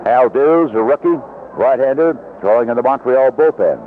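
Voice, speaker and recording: A man's voice: medium pitch, lively intonation, normal speed. A man calling a baseball game live as a play-by-play announcer, in 1970s radio commentary style from a ballpark. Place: outdoor ballpark broadcast booth. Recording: lo-fi vintage AM radio broadcast, heavy noise. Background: loud stadium noise.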